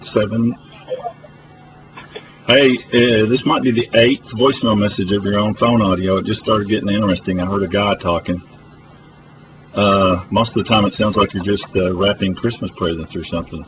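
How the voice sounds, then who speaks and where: A man's voice: fairly low pitch, fairly even intonation, moderate pace. Rambling man with a noisy phone mic. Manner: rambling, hesitant with many fillers. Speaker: public access TV host. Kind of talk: rambling live phone-in broadcast. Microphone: phone camera.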